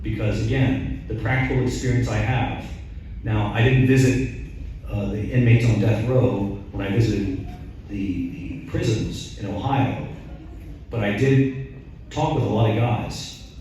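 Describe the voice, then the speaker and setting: A man's voice: fairly low pitch, fairly even intonation, measured pace, dark tone, a little hiss or hum. A middle-aged priest answers audience questions at a talk. He is emphatic, asks rhetorical questions and often restarts. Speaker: priest and guest speaker. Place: large echoey church hall. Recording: very echoey hall, voice from far off.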